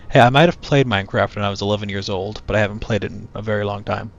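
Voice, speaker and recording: A young man streaming a flight game, chatting to his viewers in a low-key, monotone voice. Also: a little fast, slight background noise. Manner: low-key, talks to chat while playing. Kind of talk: casual gaming stream chat. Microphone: cheap headset mic. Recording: dull headset mic with game music.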